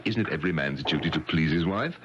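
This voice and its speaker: Deep, animated, pompous rich gentleman. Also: fast talker. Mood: persuasive. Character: wealthy, self-assured titled gentleman, shrewd bargainer. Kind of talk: 1970s radio drama acting. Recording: dull, noisy 1970s radio recording.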